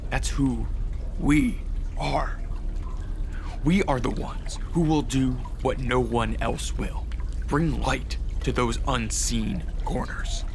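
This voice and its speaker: An actor playing a stern, earnest leader of adventurers in an audio drama, speaking with solemn deliberation. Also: male voice, medium pitch, noisy background.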